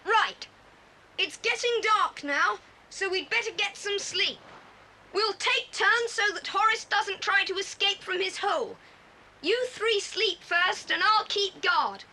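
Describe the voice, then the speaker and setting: A woman's voice: very high voice, slow pace, sharp tone, exaggerated voice. A woman playing a bold, bossy boy who leads an adventure in a children's audio drama. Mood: commanding. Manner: decisive, commanding. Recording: faintly hissy old cassette tape.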